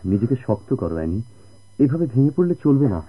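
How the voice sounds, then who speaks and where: A man with a Bangladeshi accent playing a kind, patient, devoted family friend in a radio drama, speaking earnestly in formal, measured diction. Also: medium pitch, big pitch swings, slightly rough. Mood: grave, urging. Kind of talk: Bengali radio drama acting. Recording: muffled old radio drama recording.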